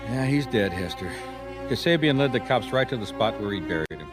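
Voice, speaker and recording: A man playing a wry, persistent private detective in a radio mystery, talking in measured, dry wisecracks. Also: fairly low pitch, animated, over music, natural voice. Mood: matter-of-fact, wry.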